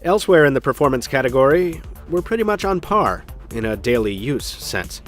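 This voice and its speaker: A man's voice: medium pitch, expressive, fairly quick, soft background music. A man hosting a tech show, narrating a scripted product review in an emphatic style with dry, wry asides.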